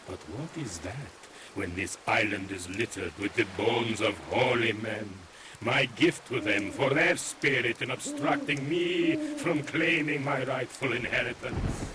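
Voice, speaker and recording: An actor playing an ancient demon knight in a video game, haughty and menacing, with grandiose archaic speech. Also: male voice, medium pitch, unhurried, crowd noise, natural voice. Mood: haughty, brooding. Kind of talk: dramatic video game villain acting. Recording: music and rain behind the voice.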